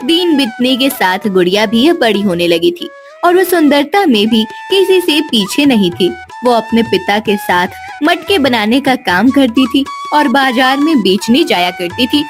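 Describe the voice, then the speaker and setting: A woman reading scripted narration for a children's story. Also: high-pitched.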